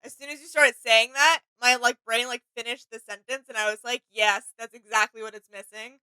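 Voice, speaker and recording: A woman's voice: a little high, steady tone, fairly quick, sharp tone, clean tone, poor audio. A woman co-hosting a reaction video with casual, conversational commentary.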